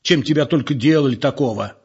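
A man voicing an irritable, sarcastic village man in an audiobook, mocking and scolding in gruff indignation. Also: medium pitch, fairly quick, darkish tone, voice pushed up. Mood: mocking, cross. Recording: muffled, lo-fi recording.

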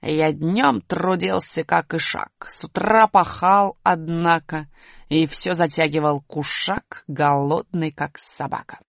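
A woman voicing a mocking, mustached male trickster who gloats and jeers, in a low gravelly put-on voice. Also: steady tone, takes it slowly, dry recording, studio quality, heavily acted. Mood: declaiming. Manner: jeering, sly, crowing.

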